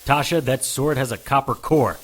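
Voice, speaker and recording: Actor as private eye, mid-range male voice, raspy edge, deadpan, hard-boiled audio drama delivery. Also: mid-range voice, normal speed, lightly acted. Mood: urgent, grave. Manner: dry, deadpan wisecracking. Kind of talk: audio drama narration and dialogue.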